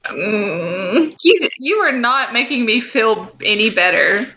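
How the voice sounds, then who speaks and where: A woman's voice: a little high, animated, slow, pushed and bright, lo-fi sound. A woman co-hosting a podcast in casual banter, with a mock-exasperated manner. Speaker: podcast co-host.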